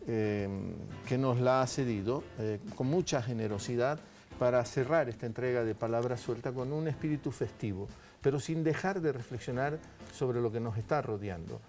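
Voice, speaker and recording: A man hosting a conversational television interview in a measured, courteous manner with hesitant phrasing. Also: mid-range voice, darkish tone, faint music behind.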